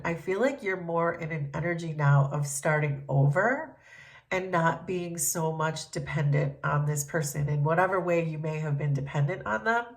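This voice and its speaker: A woman with a stuffy, congested voice from a cold, giving a gentle, thoughtful tarot reading with frequent pauses and ums. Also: lowish voice. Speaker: tarot reader.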